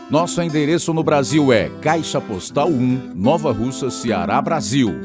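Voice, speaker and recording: A man with a Brazilian accent reading a scripted radio announcement in a formal, clearly paced way. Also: mid-range voice.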